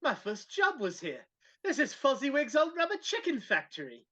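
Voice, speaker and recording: A woman's voice: high-pitched, huge pitch swings, normal speed, pushed and bright, exaggerated voice. A woman reading the part of an old miser looking back on his youth, in a live script reading. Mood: nostalgic, excited. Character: Scrooge, old miser reliving youthful memories. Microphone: video call. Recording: dull lo-fi video call.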